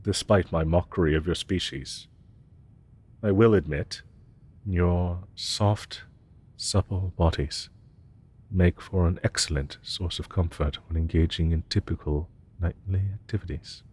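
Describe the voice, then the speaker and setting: A very low-pitched, unhurried male dragon professor, acted by a man, speaks with lofty, archaic formality. He is haughty and grandiose with a tender streak, as in a romantic fantasy audio roleplay. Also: fairly even intonation, a little mellow, slightly rough. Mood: haughty, affectionate. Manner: archaic, pompous, ornate formal phrasing.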